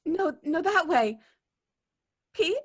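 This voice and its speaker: A woman's voice: very high-pitched, lively intonation, takes it slowly, exaggerated voice. An actress playing a frightened, hysterical young woman in an old-time radio drama, pleading and stammering in panic. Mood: anxious.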